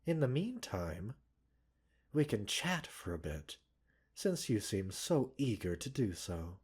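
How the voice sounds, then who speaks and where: A man playing a gentle, caring doctor in a roleplay ASMR, speaking softly and breathily with measured, polite, gently chiding formality. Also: lowish voice, very animated, exaggerated voice. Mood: soothing. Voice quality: soft, breathy. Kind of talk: roleplay ASMR, scripted character acting.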